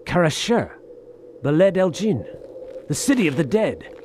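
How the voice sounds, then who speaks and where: An actor playing a weary, hard-bitten soldier of fortune in an audio drama, speaking in folksy old-fashioned slang with grim resignation. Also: male voice, a little high, big pitch swings, unhurried, a little mellow, slight background noise. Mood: awed, excited.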